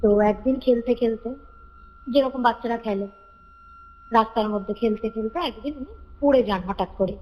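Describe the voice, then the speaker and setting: A woman's voice: fairly high pitch, moderate pace, pauses often, darkish tone. A woman casually telling a story as a caller, in a conversational way, circling back to repeat phrases. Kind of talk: casual spoken storytelling of a family ghost story. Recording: reverberant call recording with music behind. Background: background music.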